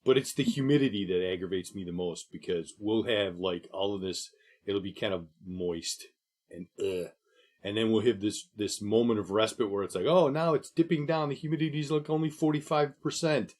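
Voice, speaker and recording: A man from Buffalo, New York, co-hosting a podcast, chatting in a relaxed way with frequent laughs and loudness that rises and drops. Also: on the low side, normal speed. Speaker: podcast co-host. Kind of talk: casual chat.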